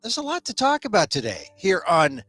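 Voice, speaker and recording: Male talk-show host, middle-aged, rambling and chatty, slightly high voice, animated. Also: a little fast, soft background music. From United States. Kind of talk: casual solo talk show.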